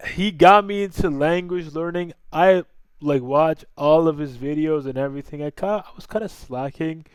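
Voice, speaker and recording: A man's voice: fairly high pitch, normal speed, smooth, no echo. A young man giving casual, slangy reaction commentary in an informal way. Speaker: reaction video host.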